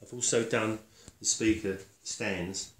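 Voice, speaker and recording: An older man giving a casual step-by-step demonstration, halting and matter-of-fact as he thinks aloud while working. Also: on the low side, steady tone. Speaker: woodworker demonstrating his build. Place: concrete-block workshop and house room. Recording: echoey room, faint noise, handling clicks.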